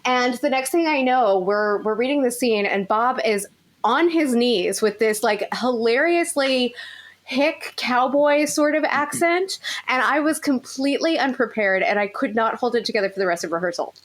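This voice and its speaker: A woman's voice: on the high side, normal speed. A woman chatting casually in a group interview, giggly and talkative. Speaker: voice actor, interviewed cast member.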